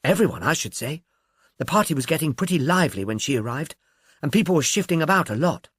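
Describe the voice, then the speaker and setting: A man's voice: on the high side, lively intonation, fast talker, fairly bright tone, breathy edge, no room sound, studio quality. A man voicing an agreeable, candid young film actress with a breezy, gossipy manner in an audiobook. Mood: breezy, thoughtful.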